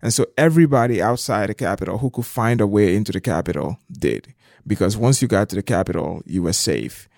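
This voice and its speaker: A man's voice: on the low side, animated, brisk, creaky, a little mellow, no echo, studio quality. A middle-aged man from Liberia, explaining things conversationally as an interview guest. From southeastern Liberia.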